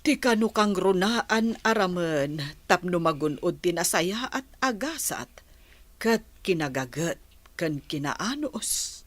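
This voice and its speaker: A middle-aged woman with a Filipino accent playing a patient village mother who gives gentle moral advice in a radio drama. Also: fairly low pitch, lively intonation, takes it slowly, no room sound. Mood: earnest advice.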